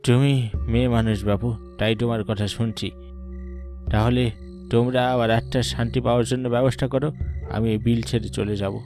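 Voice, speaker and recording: A man's voice: medium pitch, fairly even intonation, moderate pace, lightly acted. A man voicing the brooding, plaintive ghost of a wronged villager in a horror cartoon. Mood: grudgingly relenting. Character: vengeful, aggrieved ghost of a wrongly killed villager. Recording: loud music under the voice.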